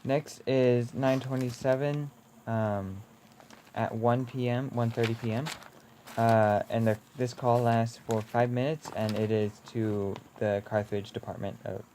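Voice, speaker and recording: A man's voice: mid-range voice, steady tone, measured pace, dark tone, a little hiss or hum. A man co-hosting a show, plainly reading out call details in casual chat. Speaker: podcast co-host.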